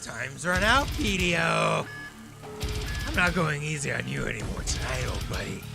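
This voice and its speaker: A man's voice: fairly high pitch, sing-song, normal speed, gravelly. A man playing an unhinged, vengeful young supervillain in an audio drama, menacing and grandiose in his theatrical taunts. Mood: menacing, taunting. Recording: loud music bed behind voice.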